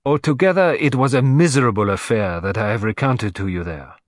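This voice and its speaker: A man voicing an excitable, vain elderly detective who talks in fussy, theatrical exclamations. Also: mid-range voice, a little fast, no echo, clean studio sound, close to an everyday voice. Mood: agitated.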